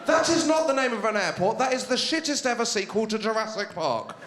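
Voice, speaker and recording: A male comedian hosting a TV stand-up show, finishing a set and then shouting out the acts to a live studio audience. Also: high voice, big pitch swings, brisk, very noisy. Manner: loud, shouted announcements to the crowd. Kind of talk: stand-up routine and hosting links. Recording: roomy studio with a roaring crowd.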